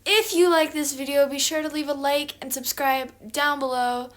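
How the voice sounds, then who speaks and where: A woman with a fairly high voice reads aloud for children. She hosts and narrates in a storybook style. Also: fairly even intonation, moderate pace. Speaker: storybook reader, video host.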